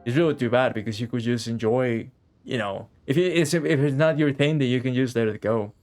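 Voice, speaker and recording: A man's voice: mid-range voice, fairly quick, darkish tone, faint music behind. A man chatting casually on a game live stream, rambling and repeating phrases as he thinks aloud.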